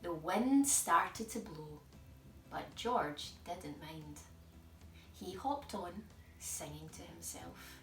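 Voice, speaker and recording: Expressive woman reading a storybook, slow and lively. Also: on the low side. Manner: expressive, addressing young listeners. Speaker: storytime presenter. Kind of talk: children's storybook narration. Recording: echoey room, distant mic, music behind.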